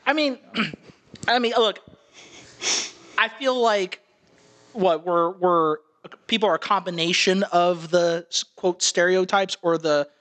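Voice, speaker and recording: A man's voice: high voice, slow, long pauses, fairly bright tone. A man co-hosting a comedy podcast, with a nasal voice, rambling in casual chat with lots of restarts. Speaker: podcast co-host.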